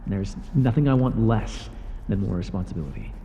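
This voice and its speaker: A man's voice: lowish voice, fairly quick, warm tone, long reverb tail, a little hiss or hum, close to an everyday voice. A man voicing an irritable male god who rules the underworld, speaking in a haughty, scolding way with dry sarcasm, as an audio roleplay. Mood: grumbling.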